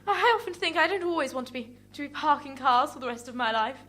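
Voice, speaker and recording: A woman's voice: high-pitched, expressive, fast, sharp tone. A woman playing a flustered, giddy young car parker in an improvised comic radio play, stammering and fluttery with theatrical politeness. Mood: weary, complaining.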